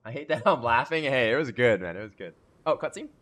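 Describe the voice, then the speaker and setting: A woman's voice: a bass voice, lively intonation, fast talker. A woman streamer reacting casually to a video game in slangy chat. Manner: slangy, giggly. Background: game music.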